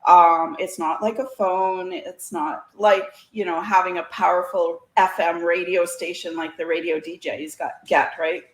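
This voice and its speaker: A Canadian woman with a slightly low voice talks in a casual, chatty way, as a guest telling anecdotes would. The sound is roomy and echoey. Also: fairly even intonation, a little slow, hardly any pauses. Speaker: guest, CB radio community organiser. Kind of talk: casual chat.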